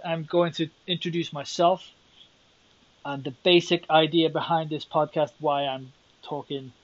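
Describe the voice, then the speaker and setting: A man's voice: a little high, no echo, highs cut off. A young Welsh man hosting a solo podcast, thinking aloud and rambling with self-deprecating asides. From Wales. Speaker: podcast host.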